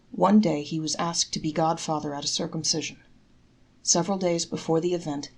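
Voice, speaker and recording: A woman narrates traditional tales, reading from a text. Her voice sits a little low for a woman, and the delivery is that of a storyteller reading aloud. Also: vocal fry, breathy edge, rough recording. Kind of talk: scripted folktale narration, read aloud.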